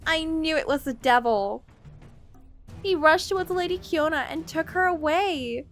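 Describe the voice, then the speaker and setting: A woman's voice: sits high, measured pace, faint music behind, voice pushed up. A woman playing a young lady-in-waiting in a visual novel, wailing in faked terror with melodramatic flair. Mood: dramatic dread. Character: frantic young lady-in-waiting faking terror over an abduction.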